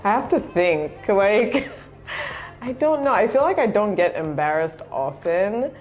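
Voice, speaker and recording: A young woman from Zambia, a guest chatting casually in an interview, relaxed and laughing often, with many fillers. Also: medium pitch, measured pace, lo-fi sound, soft background music. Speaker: guest, content creator.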